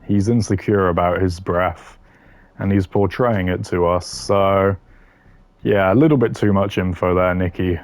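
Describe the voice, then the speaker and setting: A man's voice: low voice, fairly even intonation, a little slow, no room sound. A man giving casual, opinionated gaming commentary in a blunt, dismissive way with dry humour. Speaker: gaming content creator ranking voice lines.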